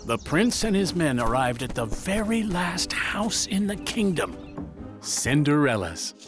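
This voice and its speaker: Animated male children's storyteller over music. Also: on the high side, measured pace. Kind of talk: scripted children's story narration.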